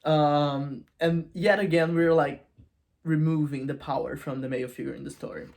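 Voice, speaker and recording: A woman casually retelling and analysing a story in an informal, animated storytelling manner. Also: sits low, moderate pace, gravelly. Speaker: video essayist and book reviewer.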